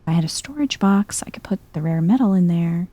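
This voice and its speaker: A soft-spoken woman doing chill, playful ASMR game commentary, often close to a whisper. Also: on the low side, lively intonation, brisk, no room sound. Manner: chill, playful muttering to herself. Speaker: gaming video host.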